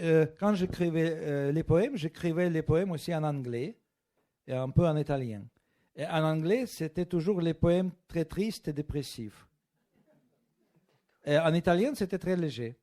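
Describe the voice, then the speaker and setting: A man with a Russian accent, a guest author in an interview, speaking slowly and often stopping to search for French words. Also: a little high, mellow. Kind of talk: bookshop interview.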